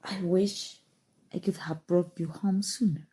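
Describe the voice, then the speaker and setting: A woman playing a calm, seductive, obsessive evil sorcerer in an intimate, coaxing ASMR roleplay, with dramatic pauses. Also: lowish voice, slightly rough. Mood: possessive, intense. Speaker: voice actor. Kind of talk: ASMR roleplay, scripted acting.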